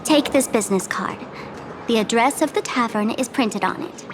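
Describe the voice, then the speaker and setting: A mischievous young woman trickster from a video game, played by an actress, pitched high and sliding around with very lively melody. She is playful, theatrical and smugly sure of herself, with music playing behind her. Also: moderate pace, lightly acted. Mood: breezy, matter-of-fact. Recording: studio voice over game music.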